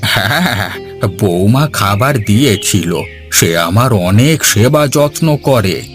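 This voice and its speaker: A man playing a frail, affectionate elderly father who draws out his words slowly in a cartoon. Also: fairly low pitch, animated, faint music behind. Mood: weary, caring. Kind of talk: cartoon dialogue.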